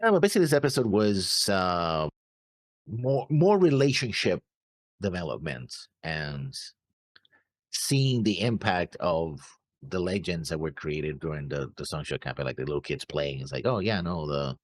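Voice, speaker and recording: A man's voice: low voice, animated, normal speed, no room sound. A man co-hosting an anime reaction show, laid-back and agreeable, talking with lots of fillers. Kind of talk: casual discussion.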